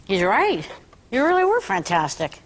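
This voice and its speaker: A woman's voice: medium pitch, huge pitch swings, moderate pace. An actress playing a blunt, sarcastic small-town woman who teases sardonically at a sitcom read-through. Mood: teasing, blunt. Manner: sardonic, teasing.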